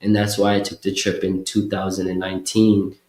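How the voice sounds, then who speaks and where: A young man speaks in a somewhat low voice, chatting loosely and circling back with fillers and restarts. The room sounds echoey around him. He talks as a guest describing his community work. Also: completely flat delivery, a little fast, a little mellow. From Kenya. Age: young adult. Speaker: guest, community program worker. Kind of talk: casual interview chat. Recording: roomy echo.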